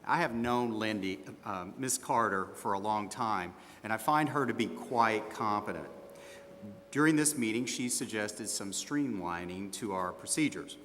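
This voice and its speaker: A man's voice: lowish voice, measured pace, a bit bright, a little hiss or hum. A man playing a defensive auditor at a hearing in an amateur stage dramatization, speaking formally and a little hesitantly. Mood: measured, defensive. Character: defensive male auditor questioned at disciplinary hearing. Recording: echoey hall, long reverb.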